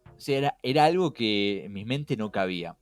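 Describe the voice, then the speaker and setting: A man's voice: fairly low pitch, animated, normal speed, clean tone, no echo. A man with a Rioplatense Spanish accent hosting a casual podcast, explaining things in an engaging way with many rhetorical questions. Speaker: podcast host. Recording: music behind. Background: background music.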